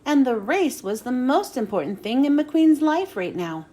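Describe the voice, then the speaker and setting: A woman reading a children's storybook aloud as an expressive narrator. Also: high voice, moderate pace. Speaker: storybook reader.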